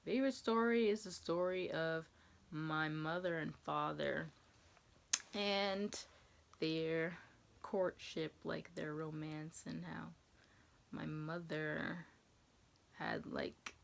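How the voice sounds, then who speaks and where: American girl in her 20s doing a chatty q&a, lots of vocal fry, kinda low voice, rambly and hesitant. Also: a little hiss or hum. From New England, USA. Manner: rambling, hesitant, thinking aloud. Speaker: vlogger answering viewer questions. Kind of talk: casual question-and-answer chat, reading questions aloud.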